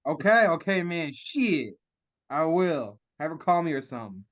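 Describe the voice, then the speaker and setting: A man's voice: fairly high pitch, big pitch swings, normal speed, highs cut off. A man playing a cool, flirty street charmer in a comedy table read, talking slangy, cocky and laid-back. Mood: cool, easygoing.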